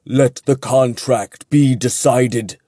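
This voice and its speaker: A man reading fantasy fiction aloud, voicing a formal, ominous male angel with slow, thunderous words. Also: medium pitch, fairly even intonation, warm tone, dry recording, studio quality. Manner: slow, grave, formal intoning.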